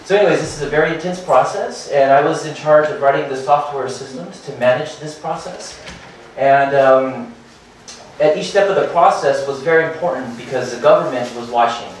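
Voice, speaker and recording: A man in his thirties giving a hurried startup presentation at a meetup, full of fillers and restarts. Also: medium pitch, a little hiss or hum. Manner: hurried, filler-heavy, restarts and repeats words. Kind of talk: conference talk. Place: meetup room with projector and seated audience. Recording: very echoey room from far off.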